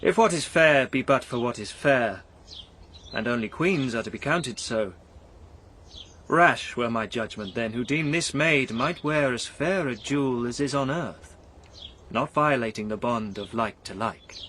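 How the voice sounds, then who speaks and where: An actor playing a great, world-worn knight in a verse radio drama, formal, measured, guarded and courteous. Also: male voice, medium pitch, fairly bright tone, a little hiss or hum, natural voice. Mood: courtly gentleness.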